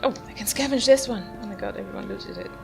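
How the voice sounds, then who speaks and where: A woman's voice: on the high side, huge pitch swings, fast, vocal fry. A woman giving casual gameplay commentary as a video host, musing aloud in an offhand way. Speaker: gaming video host, player. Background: loud game music.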